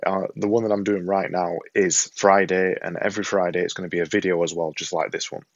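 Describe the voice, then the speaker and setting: Yorkshire guy vlogging at home, earnest, bit low voice, dry slightly muffled sound. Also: little pitch movement, brisk, dry recording. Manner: earnest, self-deprecating, repeats himself for emphasis. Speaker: vlogger and podcaster. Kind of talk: casual talk to camera. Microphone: desktop setup with a camera and a monitor.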